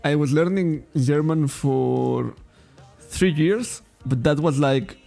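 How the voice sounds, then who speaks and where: Gravelly Catalan-accented man, rambling casually. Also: fairly high pitch, slow, dark tone, soft background music. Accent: Catalan-accented English. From Spain. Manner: rambling, self-correcting, laughs often. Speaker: trading card shop streamer. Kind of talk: casual livestream chat.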